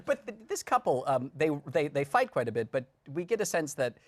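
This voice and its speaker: A man's voice: fairly high pitch, sing-song, brisk, no echo. Male talk show co-host, dry studio sound. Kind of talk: conversational interviewing.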